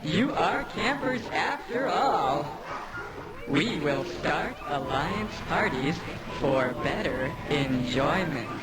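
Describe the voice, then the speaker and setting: An actor voicing a jolly, excitable alien creature in a video game, with gleeful, strange phrasing. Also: medium pitch, takes it slowly. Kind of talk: video game character acting.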